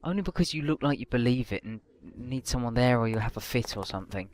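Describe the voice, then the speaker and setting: A man's voice: medium pitch, lively intonation, fast, darkish tone, lightly acted. A man playing a hesitant, grudging teenage schoolboy in an audio drama. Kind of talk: full-cast audio drama acting.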